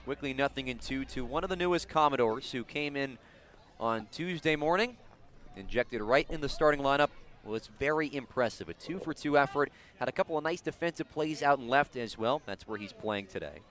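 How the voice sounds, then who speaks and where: A man's voice: mid-range voice, lively intonation, fairly quick, a bit bright. A man doing live radio baseball play-by-play as the announcer, outdoors at a ballpark. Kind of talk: live radio sports commentary.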